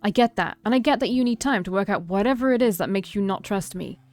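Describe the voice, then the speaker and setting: A woman's voice: mid-range voice, quick pace, a little mellow, close to an everyday voice. A woman voicing a brooding, hurt young man with a curt, tight manner in a story reading. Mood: angry, hurt. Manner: tight, clipped, growling through gritted teeth. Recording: background music behind the voice.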